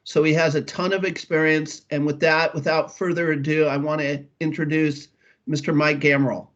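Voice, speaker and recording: Male host, measured introduction, slightly high voice, muffled audio. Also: level tone, fairly bright tone. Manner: measured, with fillers. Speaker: event host introducing a guest. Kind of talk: formal introduction.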